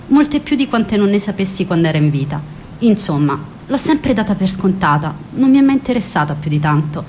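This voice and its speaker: An actress voices a young woman, wistful and passionate, in a lowish register. She narrates a radio drama in an intimate, reflective manner. A faint rumble sits behind her. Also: a little mellow, natural voice. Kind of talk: scripted radio drama monologue and letter reading.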